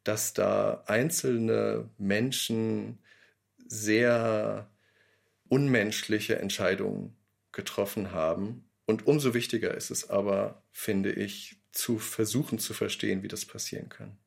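A man with a fairly low, gravelly voice reflects in an interview. He talks thoughtfully and leans on key words with small pauses. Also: fairly even intonation. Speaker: radio drama maker.

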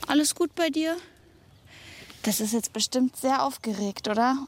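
A woman with a fairly high voice gives spontaneous commentary for a kids' wildlife show. She sounds curious, expressive and easily excited. There is faint outdoor noise around her. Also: moderate pace. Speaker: reporter for a children's wildlife show. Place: on location, outdoors.

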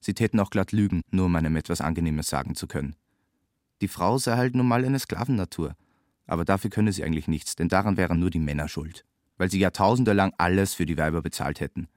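A man's voice: low voice, fast, darkish tone, no echo, clean studio sound. A man narrating a literary audio drama.

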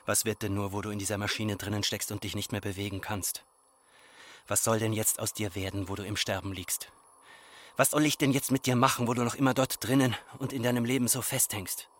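An actor playing a farmer's embittered grown son, speaking in reproachful, incantatory repetitions in a literary radio drama. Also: male voice, on the low side, fairly even intonation, brisk, a bit bright, natural voice. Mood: despairing.

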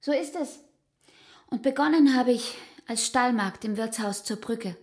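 Proud, intimate young barmaid played by an actress. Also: female voice, a little high, measured pace, a little mellow, lightly acted. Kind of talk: literary radio drama acting.

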